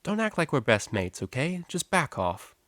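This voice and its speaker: A man's voice: fairly high pitch, sing-song, moderate pace, fairly bright tone, no echo, voice pushed up. A man voicing a moody young female unicorn student and DJ, curt and slangy, in a story reading. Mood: irritated.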